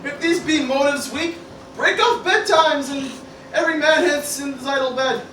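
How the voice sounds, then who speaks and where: Woman as bright, high, anxious teenage boy. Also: normal speed, slight background noise. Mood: earnest. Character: anxious, reluctant teenage cartoon grandson. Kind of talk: mock-Shakespearean parody radio play read aloud. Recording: roomy, distant amateur group recording.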